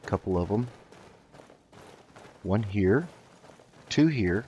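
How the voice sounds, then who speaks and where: A man doing casual game commentary, thinking aloud in an unhurried, musing way as he roleplays. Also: lowish voice, animated, dark tone, dull audio, slight background noise. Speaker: gaming host.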